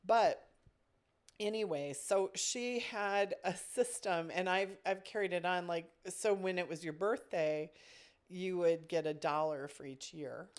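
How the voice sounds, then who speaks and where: A woman's voice: fairly low pitch, a little slow. A woman with a head cold, chatting casually and in a rambling way as a podcast co-host. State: congested with a cold. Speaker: podcast co-host, camp director. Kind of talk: casual chat.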